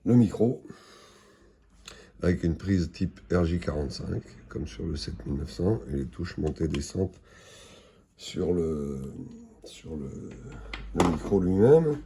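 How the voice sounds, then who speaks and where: A man giving an unscripted product demonstration in a halting, matter-of-fact, explanatory way. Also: low voice, lively intonation, background noise. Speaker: product presenter.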